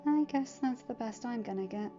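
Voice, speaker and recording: A woman voicing a bullied teenage boy, soft-spoken, hesitant and vulnerable, in a story reading. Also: on the high side, moderate pace, highs cut off, soft background music, lightly acted. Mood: hurt, subdued.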